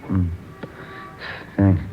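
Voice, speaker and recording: An American man playing a gruff, world-weary police detective in a radio drama, speaking laconically. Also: very slow, faint music behind. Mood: watchful. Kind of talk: 1950s radio acting. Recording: muffled, slightly noisy old radio recording.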